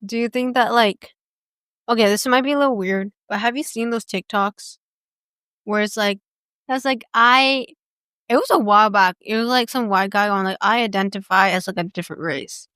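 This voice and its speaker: Sex: woman. Origin: United States. Role: podcast host. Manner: rambling, giggly, full of fillers. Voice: smooth and clear, mid pitch. Room: dry. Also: normal speed, pushed and bright, no echo. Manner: rambling, giggly, heavy use of "like". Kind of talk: casual chat.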